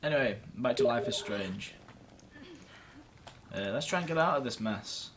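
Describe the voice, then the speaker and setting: A man's voice: mid-range voice, expressive, moderate pace, background noise. A man giving casual, offhand let's play commentary, muttering to himself and swearing now and then. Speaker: gamer, let's play host.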